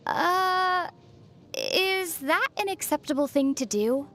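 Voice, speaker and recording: An actress playing a calm, sensible, gently teasing young traveler heroine in a video game. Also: female voice, extremely high pitch, takes it slowly, faint music behind, full character voice. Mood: skeptical. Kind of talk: scripted video game dialogue.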